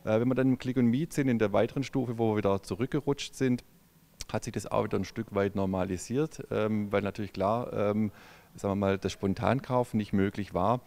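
A middle-aged man, a company executive answering questions in a halting way with many fillers, recorded on a handheld mic in a large showroom. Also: on the low side, a little mellow. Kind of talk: on-camera interview. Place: large car showroom indoors. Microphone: handheld microphone.

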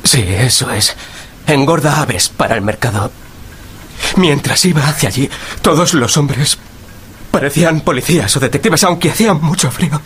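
An actor playing a cowardly, nervous thief in a radio drama, pleading and justifying himself. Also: male voice, on the high side, lively intonation, normal speed, a bit bright, slight background noise. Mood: nervous confessing.

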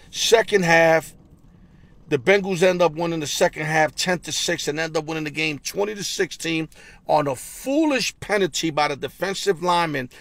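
Gravelly high-voiced man vlogging from his car. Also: fairly bright tone, no echo. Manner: blunt, rapid stat-reading with slang and stammered repeats. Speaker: sports betting show host. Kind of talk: casual NFL recap and picks. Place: parked car, indoors. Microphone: phone held at arm's length.

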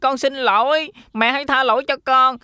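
Carefree young cartoon pig boy, high pitch, clear ringing tone, dry. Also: fairly even intonation, moderate pace, bright, forceful tone, no echo. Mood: apologetic. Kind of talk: children's cartoon dub.

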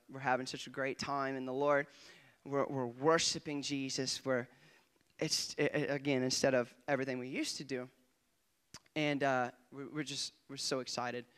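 A young man, a guest preacher, giving an excitable testimony in church on a handheld mic, often laughing and swinging between quiet asides and loud bursts. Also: mid-range voice, moderate pace, smooth. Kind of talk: testimony sermon. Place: church stage before a congregation. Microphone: handheld microphone.

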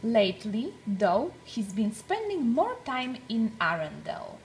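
A woman reading a storybook aloud for young children, with deliberate, expressive phrasing. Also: fairly high pitch, faint music behind, poor audio.

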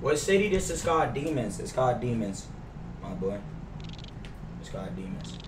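A man's voice: mid-range voice, big pitch swings, normal speed, background noise. A young American man chatting casually on a game livestream, slangy and full of swearing. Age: young adult. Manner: slangy, profane. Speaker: game streamer. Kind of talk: casual livestream chat while playing a role-play game. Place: indoor room. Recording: roomy, distant mic, music behind. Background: music, car sounds from the game.

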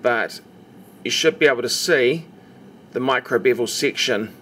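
A man's voice: mid-range voice, measured pace. A man giving a casual, instructional narration, explaining carefully step by step as the presenter of a tutorial. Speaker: knife maker presenting a tutorial. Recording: steady background noise, homemade recording. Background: noticeable background noise.

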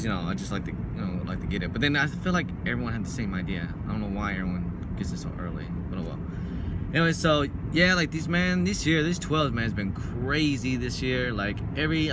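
A man in his thirties talks easily from the driver's seat, his voice sitting at an ordinary middle pitch. He speaks in loose, slangy bits that wander into side remarks. A steady hum of noise runs under the phone recording. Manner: laid-back slangy talk, drifting off into asides. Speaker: sneaker vlogger. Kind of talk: casual vlog chat. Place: inside a parked car. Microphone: phone held at arm's length.

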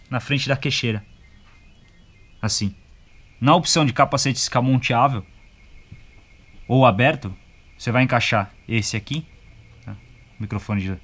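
A man's voice: medium pitch, fairly bright tone. A young adult man with a Brazilian accent, giving a casual, colloquial, step-by-step product demonstration and checking in with short tags. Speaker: product reviewer. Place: motorcycle gear shop, indoors.

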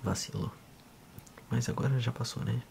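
A man in his thirties with a Brazilian accent, speaking softly and unhurriedly in an ASMR role-play as a physiotherapist addressing the listener. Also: medium pitch, gravelly, slight background noise. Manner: soft, unhurried, hushed.

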